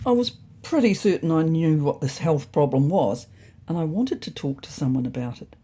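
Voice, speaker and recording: Expressive storyteller with a lively, swooping delivery. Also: medium pitch, moderate pace, husky. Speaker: narrator. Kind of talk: scripted first-person story narration for an animal tale.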